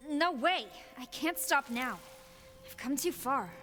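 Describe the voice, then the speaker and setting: A woman's voice: high voice, lively intonation, takes it slowly, sharp tone, heavily acted. An actress playing a determined young woman in a horror game, speaking earnestly and with strain. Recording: game audio with music underneath.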